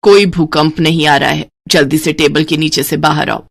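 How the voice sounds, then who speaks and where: A woman voicing an older, weary mother-in-law who manages her household and scolds, in an animated story. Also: low voice, normal speed, creaky, husky, voice pushed down, full character voice. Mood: stern. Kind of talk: animated moral story character voice.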